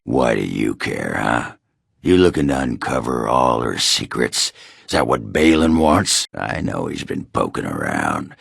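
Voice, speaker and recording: A male actor playing a gruff, surly, hard-drinking middle-aged dwarf warrior in a video game, rough and gravelly, blunt, sardonic and coarse in his grumbling. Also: extremely low pitch, bright, forceful tone, no room sound, clean studio sound, full character voice. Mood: suspicious. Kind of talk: video game character dialogue.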